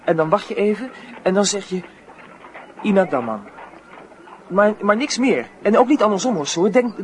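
A high-pitched, earnest teenage boy in a radio drama. He is lovestruck and nervous, hesitating and then insisting on every detail. Clear ambient noise surrounds the voice. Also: expressive, normal speed. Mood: anxious, insistent. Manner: insistent, hesitant, repeats instructions.

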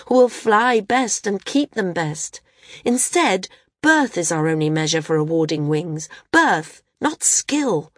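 A woman's voice: a little high, no echo, clean studio sound, voice pushed up. A woman voicing a passionate young woman who argues urgently for her brother. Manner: impassioned, urgent. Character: passionate young woman flyer, pleading sister.

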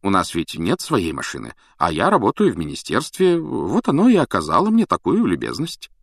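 A serious, sensible middle-aged father, as a male storyteller plays him. He speaks matter-of-factly with lively pitch movement, lifted above a low narrating voice. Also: a little fast, dry recording, studio quality. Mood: casual. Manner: grave, matter-of-fact.

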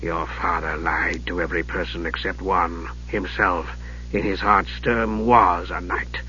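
A man voicing a frail, sardonic old archmage in a soft, low voice that drops to whispers and a slow, cutting delivery, on a dull lo-fi recording. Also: fairly even intonation, a little hiss or hum. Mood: cold.

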